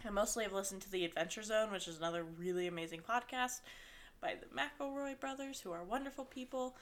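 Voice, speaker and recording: A woman's voice: medium pitch, quick pace, a bit bright. A young woman with a slight lisp co-hosting a podcast in rambling, giggly casual chat. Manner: giggly, rambling, laughs often. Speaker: podcast co-host.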